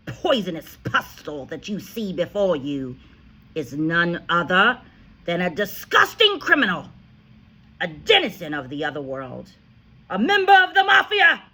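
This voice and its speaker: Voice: medium pitch, gravelly, fairly bright tone, slightly rough, voice pushed up, exaggerated voice. A reader voicing a huge, tyrannical headmistress who barks and screams insults at a pupil in a storybook reading. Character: furious bullying female headmistress, huge and tyrannical. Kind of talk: audiobook character voice.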